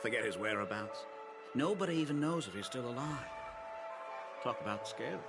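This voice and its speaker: A man's voice: medium pitch, lively intonation, normal speed, close to an everyday voice. An actor voicing a knowing male patrol guard in a video game, with a dramatic, insinuating way of talking. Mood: conspiratorial. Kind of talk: video game dialogue acting. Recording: voice over game music. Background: clear background music.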